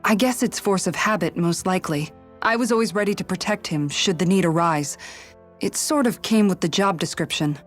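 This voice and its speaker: A woman's voice: fairly low pitch, normal speed, natural voice. A woman playing a dutiful, composed young former palace guard in a video game, speaking earnestly and considerately. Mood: thoughtful. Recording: music underneath. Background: background music.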